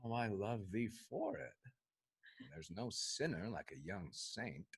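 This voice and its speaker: A man acts out a rakish sea captain in a period stage comedy. He is boisterous and flirtatious, with a husky, bright voice that leaps up and down and takes its time over each teasing phrase. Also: fairly low pitch, big pitch swings, exaggerated voice. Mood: amorous bravado. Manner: theatrical, swaggering delivery with hesitant teasing pauses. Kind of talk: Restoration comedy stage acting.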